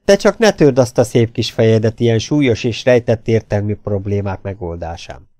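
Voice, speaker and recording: A man's voice: on the low side, mellow, no echo, studio quality, natural voice. A man voicing a meek, absent-minded old professor in an audiobook story, fussily polite and repeating himself in a mild mutter. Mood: soothing.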